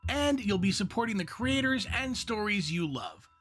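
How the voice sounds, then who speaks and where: A man's voice: high-pitched, big pitch swings, moderate pace, a bit bright, soft background music. A man reading a scripted podcast membership advertisement as announcer.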